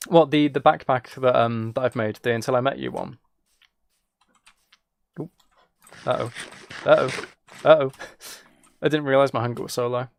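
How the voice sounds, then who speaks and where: A man's voice: medium pitch, steady tone, normal speed, creaky, pauses often, dry recording. A man chatting casually as he streams a game, rambling along in a self-deprecating way. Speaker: live streamer. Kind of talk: casual gaming livestream chat, commentary.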